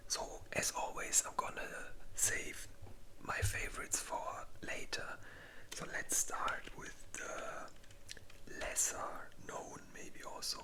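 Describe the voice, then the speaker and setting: An ASMR creator speaking in a breathy whisper, ranking movies in an informal, halting, unhurried way.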